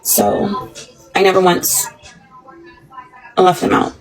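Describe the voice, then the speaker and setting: A woman in her thirties talking casually on a livestream, rambling and halting. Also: mid-range voice, level tone, creaky, faint music behind, poor audio. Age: 30s.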